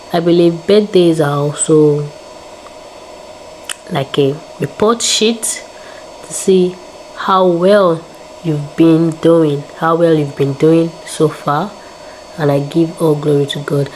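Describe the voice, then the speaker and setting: Woman in her 20s vlogging, slow and kinda low, upbeat and prayerful, faint room noise. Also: a little mellow, rough recording. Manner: upbeat, repeats words, prayerful asides. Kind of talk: casual faith-filled vlog talk.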